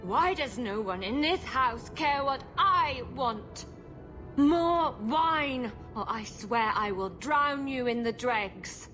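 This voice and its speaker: A woman's voice: high voice, lively intonation, slow, bright, forceful tone, faint music behind, exaggerated voice. A woman playing an imperious, bewitched young noblewoman in a video game, petulant and commanding. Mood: angry, demanding.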